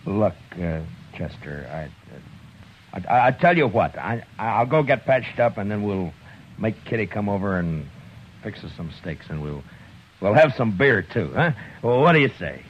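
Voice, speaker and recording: An actor playing a gruff, steady frontier marshal in a 1950s radio drama, talking in a deep, measured way. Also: male voice, slight background noise. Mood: kindly. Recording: dull vintage 1950s radio recording.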